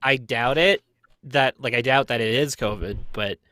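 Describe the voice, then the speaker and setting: A man's voice: medium pitch, normal speed, pushed and bright, dry recording. A man in his thirties chatting casually and telling stories in a rambling way, often with a chuckle. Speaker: podcast cast member. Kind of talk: casual chat. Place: basement.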